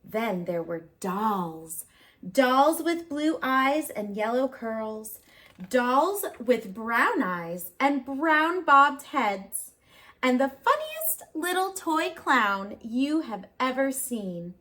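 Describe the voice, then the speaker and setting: A woman preschool teacher reading a storybook aloud, expressive, with simple child-directed phrasing and questions for young listeners. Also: sits high, very slow.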